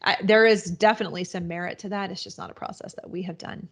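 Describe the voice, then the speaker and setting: A woman's voice: mid-range voice, steady tone, quick pace, fairly bright tone. A woman guest on a podcast, explaining her business in a rambling, casual way with many restarts and fillers. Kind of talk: casual interview answers.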